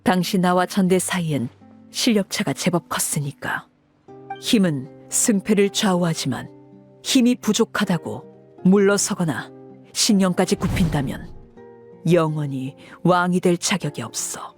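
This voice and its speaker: An actress playing a stern woman leader, speaking in a measured, formal, authoritative way in a dramatic game scene. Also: fairly low pitch, lively intonation, darkish tone. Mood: stern, resolute. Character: stern, commanding woman leader of an orphanage, called Father. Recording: music under the voice.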